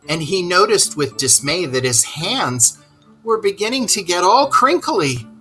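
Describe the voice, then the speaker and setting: Male children's narrator, expressive and deliberate, with a bright, forceful tone and unhurried pace. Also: on the high side. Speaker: storyteller reading aloud to children.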